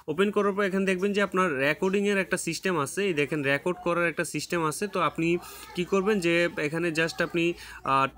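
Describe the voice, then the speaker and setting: A man's voice: fairly high pitch, fairly bright tone. A man with a Bangladeshi Bengali accent hosting a casual screen-recorded app tutorial, filling his talk with many "uh"s and restarts. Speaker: tech tutorial host.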